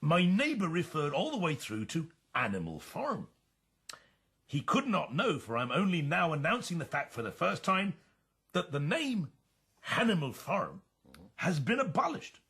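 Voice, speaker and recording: An actor playing a pompous male pig leader in a radio drama, husky-voiced and given to formal, self-important speechmaking. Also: fairly high pitch, wildly swooping pitch, a little slow, pushed and bright, full character voice. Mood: pompous, smooth.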